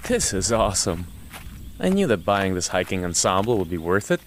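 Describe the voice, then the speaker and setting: A vain teenage boy acted by a man for an audio drama, theatrical and preening. The voice is very deep, swings dramatically up and down, and sits over faint nature ambience. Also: sing-song, a little fast, a little hiss or hum. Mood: smug delight. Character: vain, fashion-proud teenage boy, playfully fussy.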